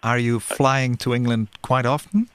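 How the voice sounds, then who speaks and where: A man's voice: medium pitch, fairly even intonation, moderate pace, fairly bright tone, clean tone, dry recording. A man interviewing a guest, a non-native English speaker whose first language is Dutch, often repeating words as he shapes his questions. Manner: hesitant, repeats words while forming questions.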